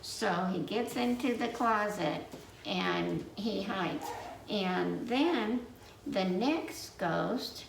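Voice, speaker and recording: A woman tells a children's story at an unhurried pace, meandering as she goes. Her voice has some fry in it. She is recorded at a distance from the microphone in a roomy space. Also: mid-range voice, animated. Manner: halting, meandering telling with dramatic questions to listeners. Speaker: library storyteller. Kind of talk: children's story time, told from memory. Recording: roomy, distant mic with faint noise.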